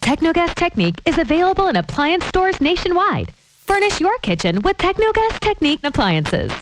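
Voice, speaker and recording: A woman's voice: very high-pitched, very animated, moderate pace, slight background noise. A woman reading a scripted radio advert as a commercial announcer. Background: music.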